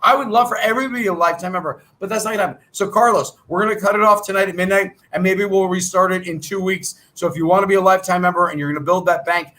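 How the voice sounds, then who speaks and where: A middle-aged man with a high, bright, edgy voice runs a live trading chat. He rattles through numbers in a brisk, salesy patter, thinking out loud as he goes. His voice is wearing thin, as if he is losing it. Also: fairly even intonation, poor audio. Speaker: trading coach.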